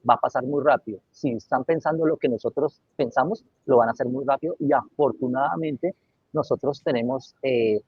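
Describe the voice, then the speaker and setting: Panelist, man, husky voice, reflective and earnest, muffled dry recording. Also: medium pitch, dry recording. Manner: earnest, reflective, tags lines with "¿sí?". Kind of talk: webinar panel talk. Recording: dry, dull lo-fi mic.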